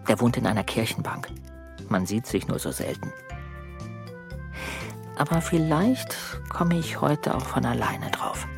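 An actor voicing a chatty, sly woodworm who narrates a children's radio play in a musing, creaky way. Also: male voice, medium pitch, expressive, unhurried, long pauses, over music. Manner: musing storyteller.

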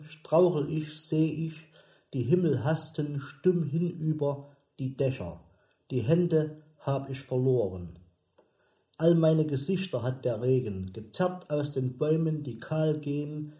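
An actor reciting as a brooding, lost poetic narrator in a radio play, in deliberate, broken phrases. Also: male voice, on the high side, warm tone. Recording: roomy, echoing sound.

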